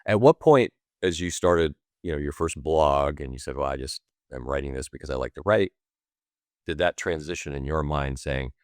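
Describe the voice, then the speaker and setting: Man, very deep voice, podcast host, affirming, winding questions, dry sound. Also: normal speed, no echo. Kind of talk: conversational interview questions.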